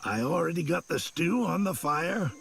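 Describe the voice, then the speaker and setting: An actor playing a friendly, sentimental old country widower with a folksy drawl in a video game. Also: male voice, fairly high pitch, lively intonation, moderate pace, vocal fry, a bit bright, slight background noise. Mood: wistful. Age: older. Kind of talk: video game character dialogue. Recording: music under the voice.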